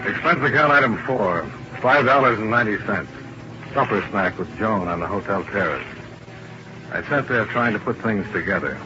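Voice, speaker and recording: A man's voice: lowish voice, animated, normal speed, natural voice. A man playing a cool, tough insurance investigator, clipped and sardonic, in 1950s radio detective style. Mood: pensive. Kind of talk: 1950s American radio detective acting and narration. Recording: dull, noisy vintage radio recording.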